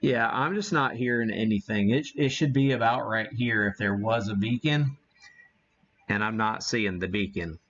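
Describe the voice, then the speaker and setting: A man hosting a casual, unscripted live radio-hobby demo, thinking aloud in a halting way as he works the equipment. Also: lowish voice, a bit bright, highs cut off. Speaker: livestream host, amateur radio operator.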